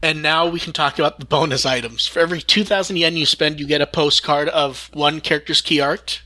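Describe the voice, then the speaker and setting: Bright, higher-voiced man hosting a chat. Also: steady tone, brisk. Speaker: podcast host. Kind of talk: casual fan news chat.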